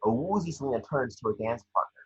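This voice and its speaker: A man with a rough, husky voice reading scripted scene descriptions at a table read. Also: medium pitch, expressive, quick pace, highs cut off.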